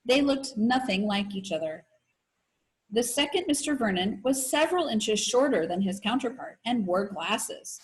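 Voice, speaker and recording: Animated woman reading a children's story aloud. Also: a little high, normal speed. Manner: animated storytelling with voices for characters. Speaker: teacher reading aloud to elementary students. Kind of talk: expressive read-aloud of a children's novel.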